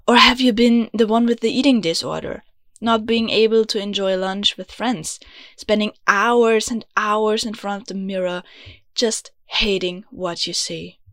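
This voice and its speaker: A young Austrian woman speaks in English as a second language, with German as her mother tongue. She talks in an earnest, confiding tone, now and then sinking into soft, low remarks. Her voice sits in a middle register, recorded dry and close in a small closet. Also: no room sound. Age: young adult. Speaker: podcast host. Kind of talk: scripted podcast monologue.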